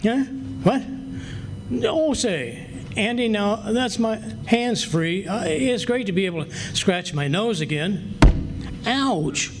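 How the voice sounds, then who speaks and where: A man's voice: high-pitched, slow. A man playing a blustering, gullible, grumbling husband in an old-time radio comedy. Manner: grumbling, excitable, boastful. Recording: noisy live stage recording.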